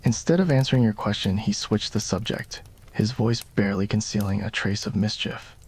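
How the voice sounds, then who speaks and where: A man's voice: fairly low pitch, fairly even intonation, moderate pace, darkish tone, breathy edge. A man reading scripted narration for a romantic ASMR roleplay story.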